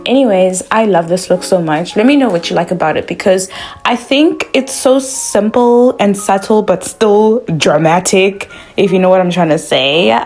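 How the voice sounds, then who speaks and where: A woman's voice: mid-range voice, normal speed, darkish tone. A young woman from South Africa, a makeup vlogger talking through a tutorial in a bubbly, chatty way, full of "like" fillers.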